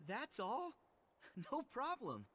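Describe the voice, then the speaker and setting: Game casting: determined teen boy hero, slow pacing with very expressive pitch swings. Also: full character voice. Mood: breezy, confident. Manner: earnest, determined. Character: earnest, stubbornly hopeful teenage boy hero. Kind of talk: video game cutscene voice acting.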